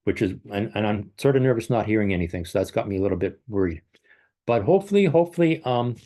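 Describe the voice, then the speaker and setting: A man's voice: on the low side, expressive, fast talker, mellow. A man in his sixties, a running coach and race director, chatting casually to a virtual clinic over a computer call. He rambles, corrects himself as he goes and drops in upbeat little asides. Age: 60s. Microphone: computer video call.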